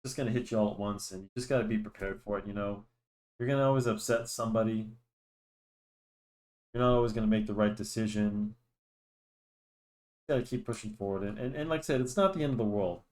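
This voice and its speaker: A man's voice: lowish voice, fast, pauses often, a little mellow. Rambling young man, nasal with a cold. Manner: rambling, lots of restarts and fillers. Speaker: drawing channel host. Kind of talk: casual chat while drawing. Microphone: desk microphone.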